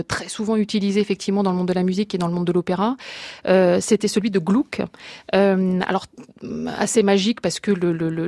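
A woman journalist talking as a guest in a radio studio. She speaks with enthusiasm and often hesitates, filling pauses and repeating words. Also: mid-range voice, darkish tone, no room sound. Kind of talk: casual radio chat. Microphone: studio microphone.

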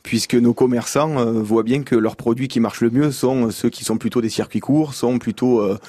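Mellow-voiced man explaining calmly. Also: medium pitch, no room sound. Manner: measured, explanatory, steady. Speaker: director of a naturist holiday centre, studio guest. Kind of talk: radio interview answers. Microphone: studio microphone.

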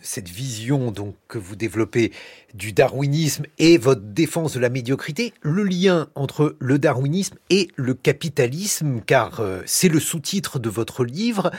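A man hosting a morning radio interview, asking his questions in a measured, deliberate way from a studio. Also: medium pitch, big pitch swings, a little mellow, slightly rough, dry recording. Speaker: radio morning show host.

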